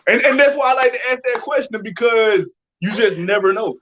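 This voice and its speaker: A man's voice: extremely high pitch, brisk, sharp tone, slight background noise. An American man hosting a casual interview in an informal, slangy way. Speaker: interview host.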